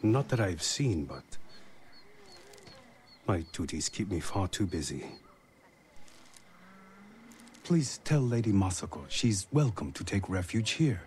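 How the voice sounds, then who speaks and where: A courteous monk in a video game. His fairly low voice moves in lively swells, humble and deferential, with noisy outdoor ambience and birds behind it. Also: a little slow. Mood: subdued. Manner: deferential, formal. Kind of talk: dramatic video game acting.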